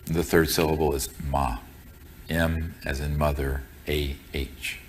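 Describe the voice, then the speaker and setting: A man with an extremely low voice guides a group through meditation. His delivery is very slow and solemn, with deliberate, measured phrasing. Faint noise and room ambience sit behind him. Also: flat delivery. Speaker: meditation teacher.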